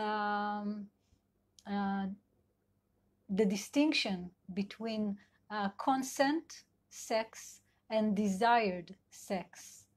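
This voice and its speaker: Slow, gentle Israeli woman therapist. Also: medium pitch. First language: Hebrew. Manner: slow, gentle and searching, many fillers. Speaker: sex and couple therapist. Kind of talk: live online talk. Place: at home in Israel.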